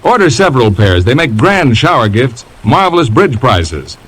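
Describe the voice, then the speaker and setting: A man's voice: mid-range voice, big pitch swings, moderate pace, a bit bright, slightly rough, rough recording. An American man announcing in a scripted 1950s radio style, with polished, emphatic salesmanship. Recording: vintage radio broadcast with music.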